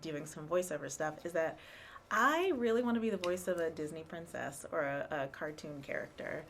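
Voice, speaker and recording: A woman co-hosting a live show, talking in a chatty, expressive way. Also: sits low, slight background noise. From rural area. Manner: chatty, expressive, quick to laugh. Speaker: co-host and actor. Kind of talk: casual live show chat.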